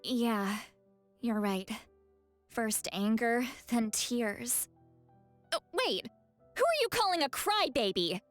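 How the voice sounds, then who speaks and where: Proud, stubborn high-voiced young woman. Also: big pitch swings, takes it slowly, long pauses, faint music behind. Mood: wry, indignant. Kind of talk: video game voice acting, dramatic dialogue.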